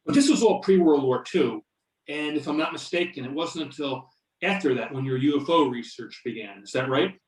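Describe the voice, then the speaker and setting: A man acts as an attentive interviewer in a readers theater play. His voice sits a little high for a man, and he puts curious, probing questions in a scripted, everyday way. The call audio is roomy and echoing. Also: lively intonation, moderate pace, lightly acted. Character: attentive male interviewer questioning a UFO researcher. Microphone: videoconference or conference call.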